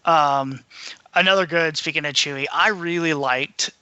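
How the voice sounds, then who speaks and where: A man's voice: fairly high pitch, normal speed, sharp tone, clear, resonant tone, no echo, dull audio. A man co-hosting a podcast, talking casually and with a fan's enthusiasm about films and books. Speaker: podcast co-host. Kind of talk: casual film and book discussion.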